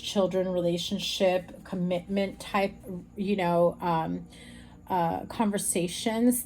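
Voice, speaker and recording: A woman with a slightly raspy voice giving a frank, chatty tarot reading straight to her viewers. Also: lowish voice, a little mellow, a little hiss or hum. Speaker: tarot reader. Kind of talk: casual tarot reading to camera.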